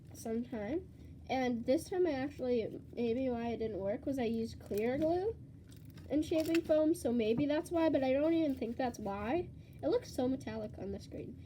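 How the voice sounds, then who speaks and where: A teenage girl vlogging casually with a stuffy, congested voice from a cold, chatty and excitable. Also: high voice, slight background noise. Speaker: slime vlogger. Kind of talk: casual vlog chat.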